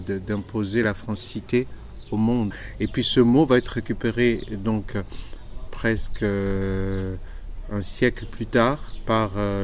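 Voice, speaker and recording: A man's voice: fairly low pitch, slight background noise. A man explaining a historical idea in an interview, searching for words and using many fillers.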